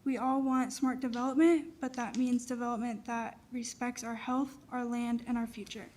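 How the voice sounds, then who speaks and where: A woman's voice: a little high, flat delivery, normal speed, darkish tone. A young woman from Iowa giving an earnest prepared public comment at a county meeting. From Des Moines, Iowa. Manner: earnest, reads from notes.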